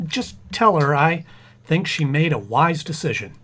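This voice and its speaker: A man's voice: a little high, expressive, unhurried. A man playing a flustered, stammering, eager expectant father in an old-time radio comedy. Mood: proudly deluded. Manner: stammering, repeats words when flustered.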